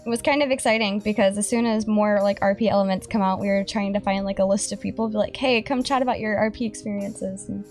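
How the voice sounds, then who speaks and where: A woman's voice: mid-range voice, fairly even intonation, fast talker, few pauses, faint music behind. A woman co-hosting a gaming podcast, bubbly and giggly, rambling a little as she talks. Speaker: podcast co-host. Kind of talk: casual podcast chat.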